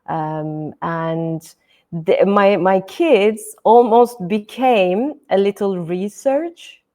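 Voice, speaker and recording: A woman's voice: mid-range voice, drawn-out words, dark tone. A middle-aged woman from Turkey, a native Turkish speaker, speaking as a guest in a podcast interview, thoughtful, with many fillers. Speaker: guest educator.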